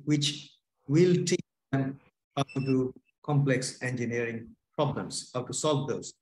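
A man's voice: medium pitch, darkish tone, a little hiss or hum. A man chairing an online academic panel over a video call, hesitant with many filler sounds. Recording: echoey room on a video call.

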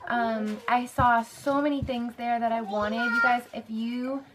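Chatty young woman, a bit hoarse. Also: fairly high pitch, fairly even intonation, measured pace, heavy background noise. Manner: chatty, rambling, lots of "like" and "um". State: slightly hoarse, losing her voice. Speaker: vlogger. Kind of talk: casual haul vlog chat. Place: bedroom, indoors.

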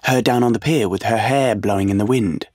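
An actor playing a dreamy, unsettled young British man in an audio play, halting and reflective. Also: fairly low pitch, darkish tone, husky, no room sound, studio quality. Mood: wistful. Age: young adult. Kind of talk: audio play monologue with dialogue.

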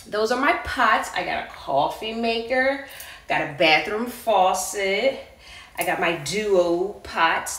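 An American woman in her thirties giving casual, playful, chatty unboxing commentary in a living room, recorded from across the room. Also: a little high. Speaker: vlogger. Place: carpeted living room indoors. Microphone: camera a few meters away. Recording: distant echoey room, loud clattering handling noise.

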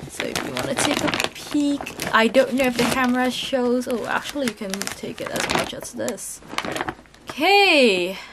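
A young woman with a cold, chatting excitedly as she unboxes and shows clothes in a haul video. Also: a little high, normal speed, heavy background noise. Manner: chatty and excitable, chuckles at prices. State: has a cold. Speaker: channel host unboxing a lucky bag. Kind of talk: casual haul vlog voice-over. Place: indoors at a table.

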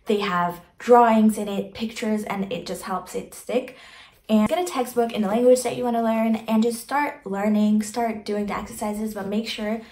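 A young woman giving earnest, chatty advice straight to the camera from her bedroom, speaking with emphatic, reassuring warmth. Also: medium pitch, steady tone, normal speed, echoey room. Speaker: vlogger. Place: bedroom, indoors. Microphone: camera in front, medium framing.

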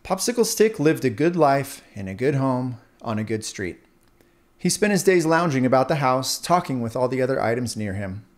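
A man narrating a scripted children's story aloud in an expressive storyteller's manner. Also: medium pitch, normal speed. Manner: expressive, animated.

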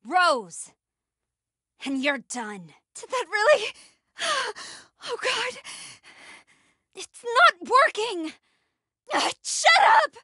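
A young American heroine of a horror video game, frightened but defiant and sarcastic. Her breathy voice sits high and moves around a great deal in pitch. She speaks slowly and intensely in a close, dry sound. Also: no room sound, exaggerated voice. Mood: panicked, angry. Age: young adult. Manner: intense, sardonic, swinging between whispers and yells. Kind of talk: video game voice lines, dramatic acting.

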